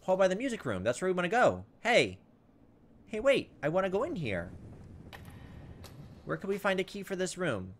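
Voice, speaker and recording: A man's voice: high voice, wildly swooping pitch, moderate pace, pauses often. Man musing through gameplay, noisy recording. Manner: thinking aloud, hesitant musing. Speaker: let's play host. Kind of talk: casual gameplay commentary.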